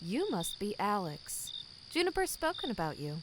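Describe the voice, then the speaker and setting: A woman's voice: medium pitch, huge pitch swings, normal speed, noisy background. A woman playing a cold, condescending company boss in an audio drama, formal, smug and menacing. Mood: smooth, businesslike. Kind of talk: scripted audio drama acting.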